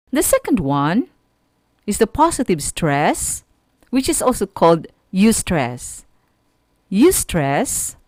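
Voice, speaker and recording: A woman with a Filipino accent teaching a radio lesson to students in a deliberate, didactic manner, often putting questions to the listener. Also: medium pitch, a little mellow, no room sound, clean studio sound. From Philippines.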